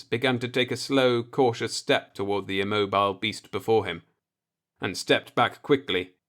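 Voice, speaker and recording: Male narrator, mid-range pitch, scripted storytelling, science fiction audiobook. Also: fairly bright tone.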